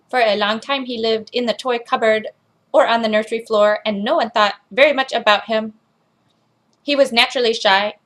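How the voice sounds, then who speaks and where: A woman's voice: fairly high pitch, fairly bright tone, close to an everyday voice. A woman voicing a robot that reads a children's storybook aloud in a robotic delivery. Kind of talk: scripted storybook narration.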